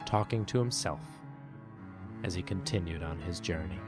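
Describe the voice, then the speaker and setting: A man reading scripted storybook narration in an expressive storytelling manner. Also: sits low, moderate pace, over loud music.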